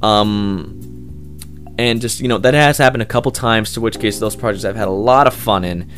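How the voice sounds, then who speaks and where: A man with a fairly low voice answers interview questions as a hobbyist voice actor. He talks in an informal, rambling, enthusiastic way, unscripted. Soft guitar music plays behind him. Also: moderate pace. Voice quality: loud voice. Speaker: amateur voice actor being interviewed.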